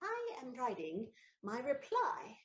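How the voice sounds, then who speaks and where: A high-voiced noblewoman from a comic period audio play. She is romantic and scheming, and her delivery swings dramatically up and down, breaking into exclamations and pauses. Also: huge pitch swings, lo-fi sound, heavily acted. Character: theatrical noblewoman countess, scheming, romantic, betrayed wife. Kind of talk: stage comedy audio play acting.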